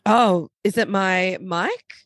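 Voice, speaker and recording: Slightly raspy woman podcasting. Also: mid-range voice, fairly bright tone, dry recording, clean studio sound. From Australia. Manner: chatty, quick to laugh. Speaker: podcast co-host. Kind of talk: casual chat.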